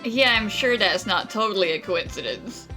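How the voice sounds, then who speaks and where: A woman's voice: mid-range voice, lively intonation, normal speed, over loud music, natural voice. A woman voicing a wry young adventurer over a video game, casual and sarcastic. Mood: dry.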